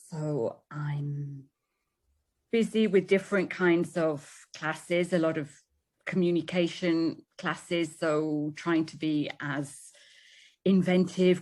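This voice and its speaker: A woman's voice: deep voice, darkish tone. A British woman in her fifties, an English teacher, chatting over a video call about her work with easy fillers. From Britain. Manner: chatty, with fillers and light laughs. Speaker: English teacher at an engineering school.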